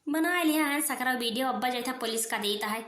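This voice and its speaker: A woman doing a defiant cartoon village woman, Awadhi accent, high bright voice, echoey room. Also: a little fast. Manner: defiant, rustic. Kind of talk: cartoon voice acting.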